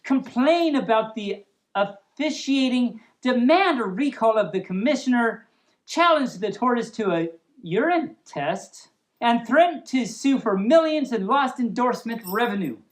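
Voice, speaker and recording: Female storyteller, fairly high and highly animated, silly and expressive, for a playful read-aloud. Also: a little slow. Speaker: storyteller, host of a storytime series. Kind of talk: storybook reading with playful ad-libbed asides.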